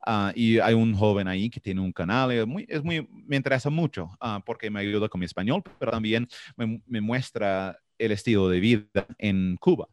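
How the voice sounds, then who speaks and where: A man from Florida with an American accent and English as his first language, answering interview questions haltingly as he searches for words in a second language. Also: lowish voice, a little mellow, no echo, studio quality. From Florida, United States. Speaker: podcast guest.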